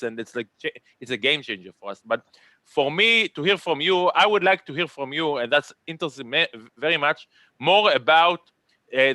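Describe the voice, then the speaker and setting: A man's voice: on the high side, sharp tone, no room sound. A man with an Israeli accent moderating a virtual conference panel, chatty and rambling, often repeating words.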